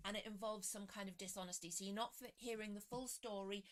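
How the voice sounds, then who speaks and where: Lady doing a live tarot stream, bit croaky, chats away and laughs at herself. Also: medium pitch, steady tone, fast talker. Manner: chatty, self-deprecating, greets viewers by name. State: slightly croaky voice. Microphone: computer webcam setup.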